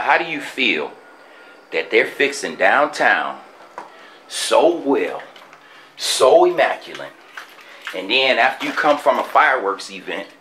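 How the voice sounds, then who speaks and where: A man, filming a walking-tour vlog through an abandoned building, talking indignantly and dropping to hushed asides. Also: on the low side, a little hiss or hum. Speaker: street vlogger. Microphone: handheld camera.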